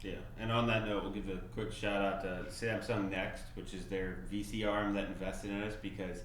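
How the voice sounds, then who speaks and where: A young man co-hosting a casual livestream Q&A, easygoing and quick to agree. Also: on the low side, flat delivery, fairly bright tone, reverberant, slight background noise. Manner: easygoing, brief agreeing replies. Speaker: head of strategy, co-host. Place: small office studio with glass walls.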